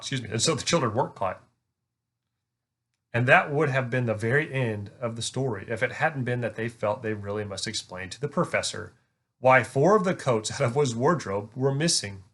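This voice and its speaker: A man's voice: medium pitch, a bit bright. A man narrating a children's storybook, reading it aloud. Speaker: reader.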